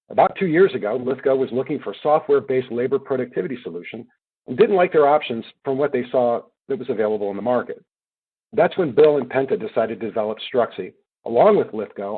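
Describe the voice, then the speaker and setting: A male webinar moderator reads out introductions in a steady, formal way. His voice is a little husky and comes through a thin, phone-like line. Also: mid-range voice. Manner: measured, formal reading. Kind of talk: read introductions.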